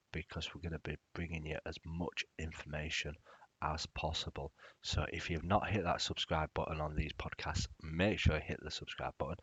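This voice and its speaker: A man's voice: rumbling low, fairly bright tone, slightly rough, no echo, highs cut off. A man hosting a podcast, talking in a soothing, casual and rambling way. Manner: chatty, rambling, with fillers. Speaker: podcast host.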